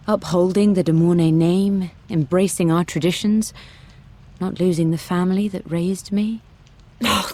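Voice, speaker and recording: A woman's voice: mid-range voice, warm tone. An actress playing a thoughtful, torn aristocratic woman with a British accent, confiding in a reflective game monologue. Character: thoughtful, torn young aristocratic woman weighing love against duty. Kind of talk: dramatic monologue in a video game.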